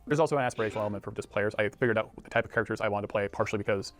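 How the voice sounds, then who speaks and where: Very fast-talking man presenting a video. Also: fairly low pitch, fairly bright tone, faint music behind. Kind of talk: promotional discussion.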